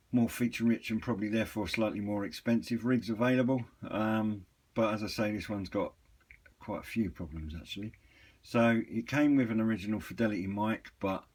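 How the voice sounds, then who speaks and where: A man giving a casual, unscripted product walkthrough in a hesitant, rambling, matter-of-fact way, with a slightly husky voice. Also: on the low side, a little mellow. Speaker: hobbyist reviewer and seller of used CB radios.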